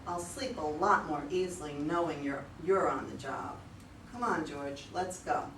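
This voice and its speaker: A woman's voice: low voice, measured pace, a bit bright. A woman in a staged play reading as an anxious, talkative client, earnest in manner. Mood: grateful, worried. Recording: distant mics, echoey room, faint noise.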